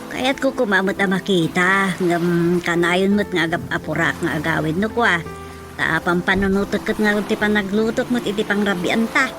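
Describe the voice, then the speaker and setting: A woman playing a fussing, soft-hearted mother in a radio drama comedy. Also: mid-range voice, normal speed, natural voice. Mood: concerned. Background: music.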